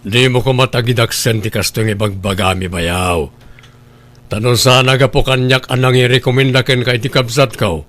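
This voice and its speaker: A man's voice: medium pitch, fairly even intonation, normal speed, a bit bright, lightly acted. A man acting as a grumbling, reproachful husband weighed down by debt, in an audio drama.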